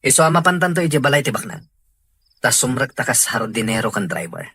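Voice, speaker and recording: A man acting a scheming crook planning a robbery in an audio drama, speaking with a husky, rough edge. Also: medium pitch, big pitch swings, fast talker, pushed and bright, dry recording, full character voice. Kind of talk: acted dialogue in an audio drama.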